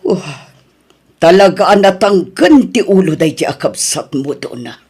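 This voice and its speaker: A woman with an Ilocano accent playing a middle-aged mother, soft with her son and stern with her daughter, in an audio drama. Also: lowish voice, very animated, measured pace, slightly rough, heavily acted. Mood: scolding, upset.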